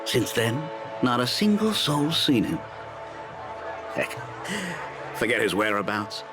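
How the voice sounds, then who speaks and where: An actor voicing a knowing, cynical city gossip in a video game, talking in rhetorical, insinuating turns. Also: male voice, mid-range voice, sing-song, takes it slowly. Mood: conspiratorial. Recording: music behind the voice.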